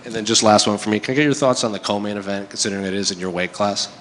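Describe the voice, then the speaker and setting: A man's voice: fairly low pitch, very fast, fairly bright tone, big-room sound. A man asking a fighter rambling, filler-heavy questions at a media day. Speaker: MMA reporter. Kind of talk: press interview questions.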